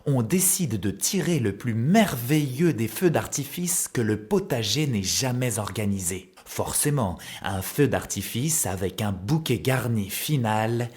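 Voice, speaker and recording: A man's voice: mid-range voice, lively intonation, measured pace, few pauses, darkish tone. A man narrating a children's cartoon story in a mock-epic, theatrical manner.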